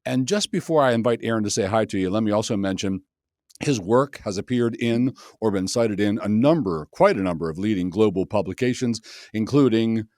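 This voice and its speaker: Male pastor-host, low voice, chatty and friendly, dry close sound. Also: fairly quick, darkish tone, dry recording. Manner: friendly, chatty, with small jokes. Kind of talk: hosting an interview podcast.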